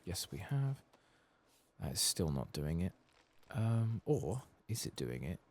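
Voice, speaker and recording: A man giving soft-spoken, sometimes whispered ASMR game commentary, muttering casually and swearing to himself. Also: low voice, big pitch swings, takes it slowly. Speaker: ASMR gaming streamer.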